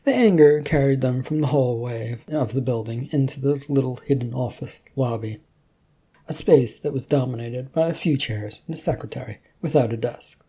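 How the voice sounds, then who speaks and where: A woman's voice: very deep voice, moderate pace, dark tone, breathy edge. A woman narrating a scripted story as its storyteller.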